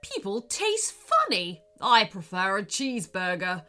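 Storybook wolf, large, male, amiable and worried, performed by a woman; bright delivery, hesitant chatty manner. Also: medium pitch, huge pitch swings, measured pace, soft background music, heavily acted. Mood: friendly. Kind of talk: children's storybook character voice.

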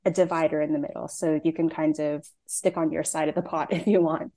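Young woman, playwright, lowish pitch, soft mellow tone, casual chatty answers with lots of fillers. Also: level tone, fairly quick. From Canada. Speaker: playwright and actor.

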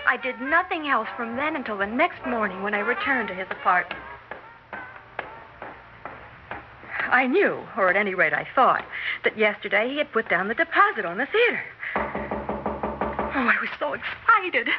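High-voiced, determined would-be star. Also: female voice, very animated, moderate pace. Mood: wistful excitement. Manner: dramatic, insistent storytelling. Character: determined, excitable young would-be actress, a woman. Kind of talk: 1960s radio drama acting. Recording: dull old radio broadcast, music behind.

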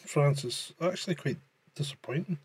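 A man's voice: fairly high pitch, moderate pace, slightly rough. A man co-hosting a podcast, chatting casually, telling crude jokes, swearing and laughing a lot. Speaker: podcast co-host.

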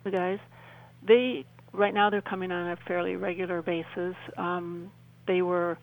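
A middle-aged woman with a noticeably creaky, fry-laden voice explains things as a guest on a radio interview. Her intonation stays flat and narrow. She speaks earnestly and haltingly, circling back to repeat phrases for emphasis. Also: fairly low pitch.

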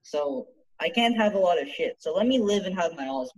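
A man's voice: high voice, animated, brisk, poor audio. A young man from Canada chatting on a casual podcast, rambling and defensive with lots of fillers. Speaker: podcast co-host.

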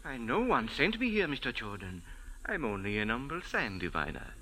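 A man's voice: medium pitch, very animated, moderate pace, pushed and bright, husky, exaggerated voice, rough recording. An actor playing a humble, pleading male sand diviner in a 1940s radio drama. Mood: mystical.

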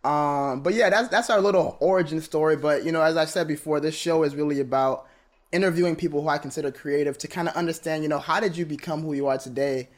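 A man hosting a casual interview show, easygoing and quick to react. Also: fairly high pitch. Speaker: podcast host.

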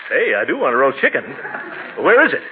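An actor playing a blustering, excitable middle-aged uncle with a pompous manner and hearty chuckles in a 1940s radio comedy. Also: male voice, medium pitch, fast talker, close to an everyday voice. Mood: indignant. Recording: noisy, tinny old radio broadcast.

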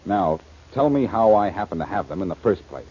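An unflappable male sleuth from a 1940s radio drama. He is glib and commanding, with swooping, wry delivery in a mellow low voice. The old recording is dull and muffled. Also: very animated, a little fast, husky, exaggerated voice. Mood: brisk, probing. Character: quick-witted, unflappable wisecracking amateur sleuth boss.

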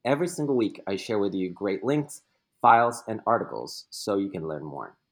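A man's voice: fairly low pitch, animated, normal speed. A young man hosting a casual podcast interview, asking loose questions with fillers. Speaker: podcast host.

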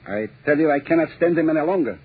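An actor playing a touchy, excitable violinist who complains and stammers in an old radio drama. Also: male voice, on the high side, fast, breathy edge. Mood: indignant. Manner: indignant complaining, stammers when scared. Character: excitable, fussy male orchestra violinist. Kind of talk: 1930s radio drama acting. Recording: tinny old radio broadcast.

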